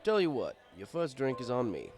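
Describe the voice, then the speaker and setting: A man's voice: medium pitch, wildly swooping pitch, darkish tone. Man as wry fatherly pony bartender, music behind. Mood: friendly, welcoming. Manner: wry, conversational, unhurried. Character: easygoing fatherly male pony bartender telling his tale. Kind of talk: first-person audiobook narration in character.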